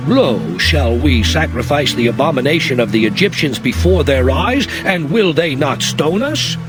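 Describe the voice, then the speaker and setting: A man's voice: on the high side, lively intonation, normal speed, close to an everyday voice. A man playing an earnest prophet who delivers divine demands in a declamatory, emphatic way, in a dramatized scripture reading. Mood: earnest insistence. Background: music.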